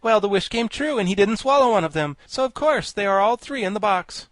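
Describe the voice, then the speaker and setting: Man putting on a high-pitched, pompous, scholarly insect. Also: lively intonation, a little fast, fairly bright tone, no room sound. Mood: emphatic, smug. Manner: pompous, emphatic, pedantic declaiming. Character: pompous, highly educated giant insect, male. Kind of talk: storybook character voice.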